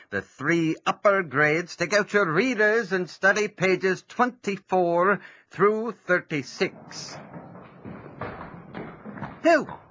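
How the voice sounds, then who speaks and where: An actor playing a stern but kindly nineteenth-century schoolmaster in an audio drama, formal and deliberate. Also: male voice, a little high, bright, forceful tone, full character voice.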